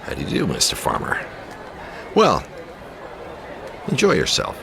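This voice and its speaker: Creaky-voiced, uneasy man with wild swings. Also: fairly low pitch, wildly swooping pitch, measured pace, a crowd in the background, noisy background, full character voice. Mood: uneasy, wry. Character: troubled, wry male jazz pianist, uneasy and suspicious. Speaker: actor in a radio drama. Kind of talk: naturalistic radio drama acting. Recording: music behind the voice.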